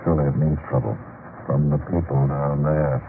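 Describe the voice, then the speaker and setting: An actor in a 1940s radio drama playing a worried disciple, earnest and theatrical. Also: male voice, extremely low pitch, steady tone, a little fast. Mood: anxious. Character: worried male disciple watching for missing companions. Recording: noisy tinny 1940s radio broadcast.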